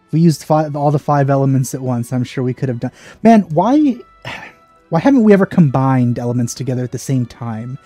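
A man's voice: mid-range voice, expressive, fairly quick, dark tone, dry recording. A man doing casual, wry let's play commentary on a video game. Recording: game music behind the voice. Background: game music.

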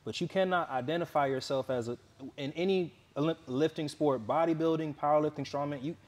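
A young man interviewing a guest in a relaxed, halting way, full of fillers and restarts. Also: on the high side. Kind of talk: casual interview.

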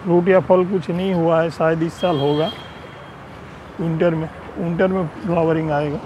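Guy showing off his terrace plants on his phone, kinda high voice, faint street noise. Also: fairly even intonation, moderate pace. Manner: chatty, pointing things out. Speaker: home gardener giving a tour. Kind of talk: casual walkthrough narration. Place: rooftop terrace garden, outdoors. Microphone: handheld phone camera.

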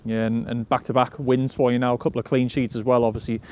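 A man's voice: mid-range voice, level tone, fast talker, a little mellow. A man interviewing a footballer after a match for the club's channel, asking casual, chatty questions with restarts. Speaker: club media interviewer.

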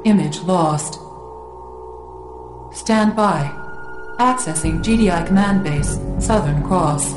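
Game military computer: calm, low-pitched female announcer, emotionless and clipped, with clear echo. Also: takes it slowly, long pauses, music in the background. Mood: impassive. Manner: clipped, emotionless, formal status reports. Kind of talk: scripted game cutscene announcement.

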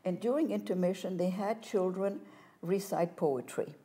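An older woman telling her life story as a guest in a studio interview, animated and gesturing as she talks, on a lapel mic. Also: fairly low pitch, moderate pace. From France. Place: studio set, seated in armchair.

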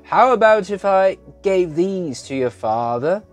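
A man reading an audiobook, voicing a crude, brash young boy who talks loudly and taunts. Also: high-pitched, lively intonation, measured pace, soft background music, voice pushed up. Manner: loud, taunting, gleeful.

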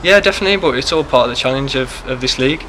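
A young man, a footballer, giving quick post-match answers into a close handheld mic, with many fillers. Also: mid-range voice, expressive, fairly bright tone, slight background noise, rough recording. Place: press backdrop, indoors. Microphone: handheld mic held close.

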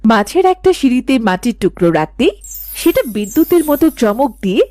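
A woman's voice: a little high, big pitch swings, a little fast, dark tone, no echo. A woman narrating a scripted folk story.

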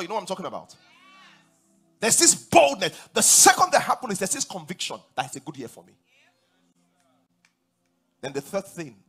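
A man in his forties with a Nigerian accent, preaching a sermon on a large church stage, animated and rising to loud emphatic declarations. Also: sits high, husky. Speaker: pastor.

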